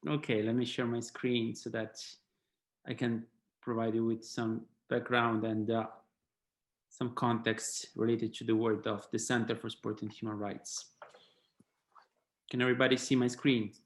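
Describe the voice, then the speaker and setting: A man's voice: mid-range voice, flat delivery, measured pace. A man with an Italian accent, speaking hesitantly with many fillers as a panelist on a video call. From Italy. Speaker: panelist, head of policy at a sports human rights body. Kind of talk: online panel talk with slides.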